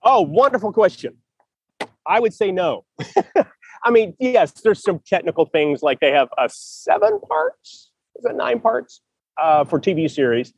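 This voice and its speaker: A man's voice: high voice, huge pitch swings, unhurried, gravelly, husky, no room sound. A man hosting a casual chat about writing, talking loosely with frequent laughs and restarts. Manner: chatty, laughs often, restarts sentences. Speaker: podcast host.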